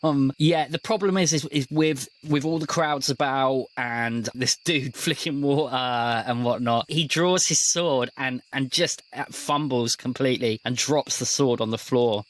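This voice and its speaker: Slow-paced man running a tabletop game. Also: mid-range voice. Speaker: game master. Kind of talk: improvised tabletop game narration.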